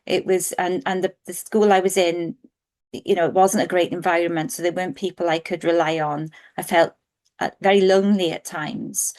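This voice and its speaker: A woman's voice: lowish voice, fairly even intonation, moderate pace, darkish tone. A Welsh woman in her forties, an author, answering interview questions in an earnest, thoughtful way with frequent ums. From Wales. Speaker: author guest.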